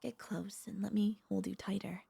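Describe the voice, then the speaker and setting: A woman playing a possessive, doting royal vampire mistress in an intimate ASMR audio roleplay, speaking in a tender, teasing, halting way with a creaky voice. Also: medium pitch, little pitch movement, dark tone, full character voice. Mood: teasing, longing. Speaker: voice actor and vtuber.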